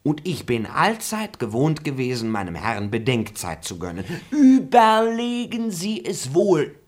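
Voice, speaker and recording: A man's voice: a little high, wildly swooping pitch, unhurried, full character voice. An actor playing an insolent male servant who mocks his master in a radio drama. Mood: mocking. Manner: insolent, mocking. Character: cheeky grumbling male servant.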